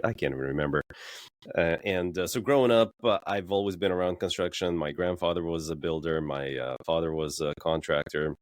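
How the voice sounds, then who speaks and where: A man's voice: sits low, dry recording. A man being interviewed as a guest, explaining things plainly and casually with frequent "uh" fillers. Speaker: guest, real estate developer.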